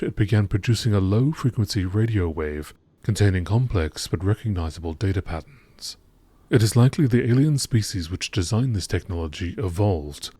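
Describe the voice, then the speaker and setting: A man reading scripted science-fiction encyclopedia entries in a measured, formal nature documentary style. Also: low-pitched, warm tone, no echo. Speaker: narrator reading game lore entries.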